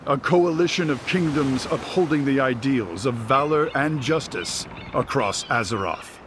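A man narrating a heroic fantasy game in a solemn, grand, measured scripted style. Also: mid-range voice, noisy background, lightly acted.

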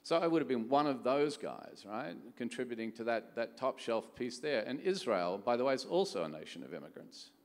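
A middle-aged man gives a conference keynote in a conversational manner, often posing rhetorical questions. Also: medium pitch. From Australia. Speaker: venture capital executive, keynote speaker. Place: large conference hall stage. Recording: echoey hall sound, long reverb.

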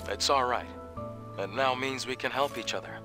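A calm young man guiding a companion through a ruined city in a game cutscene, as an actor voices him. He talks in a steady, reassuring, upbeat way at a middle pitch. Game music plays behind him. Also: moderate pace, natural voice. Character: young male survivor guide, calm and encouraging. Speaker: companion character. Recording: game soundtrack playing behind.

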